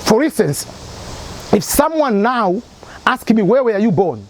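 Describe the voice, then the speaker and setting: A man's voice: sits high, very animated, a little slow, slight background noise, rough recording. A man from Tanzania, hosting an advice channel and explaining his points through repeated examples. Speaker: immigration advice channel host.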